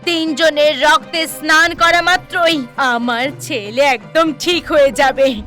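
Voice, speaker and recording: A cruel mother bent on human sacrifice , acted by a woman, with a very high, piercing voice. She draws her words out slowly and theatrically, full of menace, as in a horror cartoon. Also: fairly even intonation, bright, forceful tone, soft background music, exaggerated voice. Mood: triumphant, obsessed. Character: cruel scheming mother plotting human sacrifice to cure son. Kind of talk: animated horror story acting.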